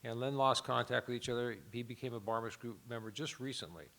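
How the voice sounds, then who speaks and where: A man with a mid-range voice hosts the gathering. He introduces the next speaker in a relaxed, conversational way, talking straight to the audience. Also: mid-range voice, fast. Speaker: host introducing a guest. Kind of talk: casual introduction.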